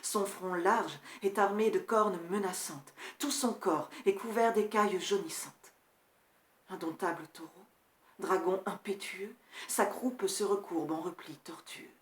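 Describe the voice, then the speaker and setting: A woman performing a grief-stricken male tutor in a classical verse tragedy, solemn and measured, moving between hushed and forceful delivery. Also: medium pitch, reverberant, close to an everyday voice. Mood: solemn dread. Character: grief-stricken male tutor recounting his prince's horrific death. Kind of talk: dramatic classical French verse recitation.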